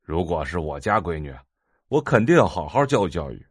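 Brief: indignant paternal middle-aged man, deep-ish voice, audio drama. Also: big pitch swings, fairly quick, slightly rough, no echo, studio quality, exaggerated voice. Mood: annoyed. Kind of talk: Chinese audio drama acting.

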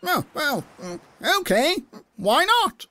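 A woman's voice: sits high, sing-song, slow pace, bright, forceful tone, exaggerated voice. A woman voicing a fussy, lonely male seagull who hesitates and squawks between phrases. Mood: shy. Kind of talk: children's storybook acting.

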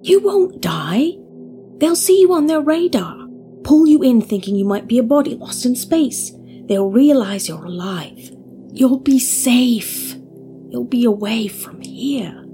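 A protective young woman contortionist, voiced by a female storyteller with a lifted, brighter pitch than the narration around her. She speaks with pleading urgency, as in a storybook reading. Music plays clearly underneath. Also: big pitch swings, slow pace, exaggerated voice. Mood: urgent.

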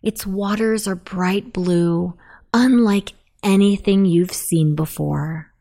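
A woman narrating a guided sleep meditation in a soothing, deliberate manner. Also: medium pitch, creaky, warm tone.

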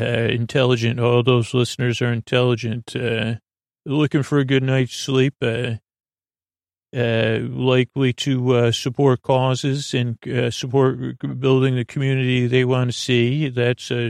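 A man hosting a bedtime podcast in a creaky, soothing voice, rambling through improvised tangents with mumbles and stumbles over his words. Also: mid-range voice, no room sound, clean studio sound. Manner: soothing, lulling delivery. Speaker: podcast host.

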